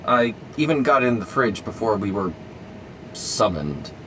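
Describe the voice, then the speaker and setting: Guy doing a hesitant nerdy hero, low voice, kinda far from mic. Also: very animated, moderate pace, a bit bright, slight background noise. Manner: hesitant, earnest. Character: mild-mannered male scientist hero.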